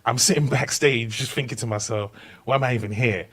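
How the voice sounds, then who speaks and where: A young man with a London accent, a comedian guest telling stories in a relaxed podcast chat, animated and laughing through his punchlines. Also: medium pitch, brisk. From Hackney, East London. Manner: animated storyteller who laughs through punchlines and acts out quoted voices. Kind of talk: casual chat, anecdotal storytelling.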